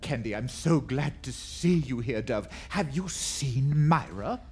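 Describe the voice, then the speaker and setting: A man's voice: mid-range voice, expressive, a little slow, lightly acted. A man playing a fussy, theatrical department store Jack Frost, effusive and melodramatic, in old-time radio drama style. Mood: anxious, confiding. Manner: effusive, melodramatic, peppered with endearments. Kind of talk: 1940s radio drama acting.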